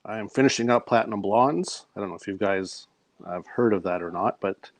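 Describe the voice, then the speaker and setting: Male writer as podcast guest, slightly deep voice, relaxed conversational delivery, dry close-up recording. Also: brisk, no room sound. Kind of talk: casual chat about own work.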